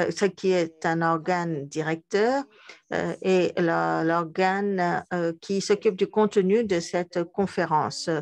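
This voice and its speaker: A woman with an Argentine Spanish accent, a native Spanish speaker, moderating an online conference session in a deliberate, hesitant way with frequent pauses. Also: on the low side, a little mellow.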